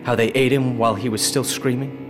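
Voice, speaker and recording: A man plays a tough, practical trooper in a radio play, grim and steady, with a mid-range voice. Music plays under the dialogue. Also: medium pitch, a little fast, lightly acted. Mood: haunted. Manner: grim, practical, rising to panic. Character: hardened trooper Duclair, war veteran man.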